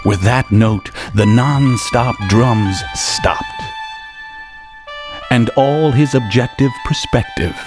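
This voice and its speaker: A man's voice: medium pitch, slow, music in the background. A man narrating a radio tale in a theatrical, rhyming beat-poet style, relishing every word. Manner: dramatic, relishing rhyme and alliteration.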